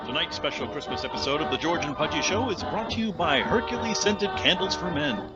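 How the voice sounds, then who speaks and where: A man's voice: fairly high pitch, lively intonation, fairly quick, highs cut off, loud music behind the voice, natural voice. A man playing a hammy, manly sponsor announcer in a mock radio commercial, theatrical and salesy.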